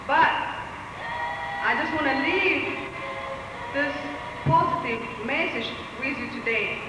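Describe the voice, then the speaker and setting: A woman's voice: sits high, slow pace, loud music behind the voice. A woman, not a native English speaker, giving an earnest, pleading speech at a podium in a large hall. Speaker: community speaker at a rally. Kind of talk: impassioned public speech. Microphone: podium mic from a far camera. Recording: echoey hall recorded from far off.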